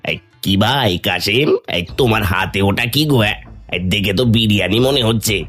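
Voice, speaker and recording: A man's voice: fairly low pitch, a bit bright. A man voicing a greedy, spiteful neighbour in a Bengali cartoon, wheedling and blustering. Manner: whiny, wheedling, blustering, drawn-out interjections. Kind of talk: Bengali fairy tale cartoon dubbing. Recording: music under the voice.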